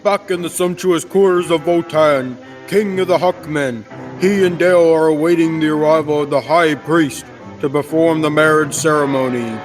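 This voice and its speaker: A florid old-time radio announcer, played by a teenage boy. He narrates theatrically in a slightly high male voice. Music runs behind him. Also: fairly even intonation, moderate pace, natural voice, poor audio. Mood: dramatic. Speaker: student actor, narrator. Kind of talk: scripted dramatic narration.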